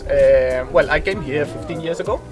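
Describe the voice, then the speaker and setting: A man from Spain, interviewed outdoors on a trail, speaking haltingly and searching for words. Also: fairly high pitch, over music. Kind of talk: casual interview.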